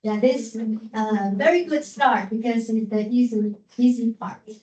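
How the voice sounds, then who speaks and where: A woman's voice: fairly high pitch, measured pace, a little mellow. A woman teacher giving classroom instructions with many fillers and repeated words. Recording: echoey classroom from far off, dull.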